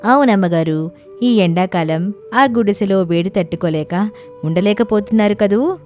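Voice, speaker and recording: A woman voicing a kindly, grateful magic talking coconut in an animated story, respectful in manner, with music behind. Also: medium pitch, normal speed, lightly acted. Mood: kindly, sympathetic. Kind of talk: acted dialogue in animated fantasy story. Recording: music under the voice.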